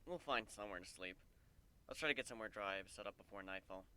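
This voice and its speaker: A man voicing a gentle, easygoing male pony in a fantasy story, with a dry, understated delivery. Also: on the low side, fast talker, no echo. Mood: wry, resigned.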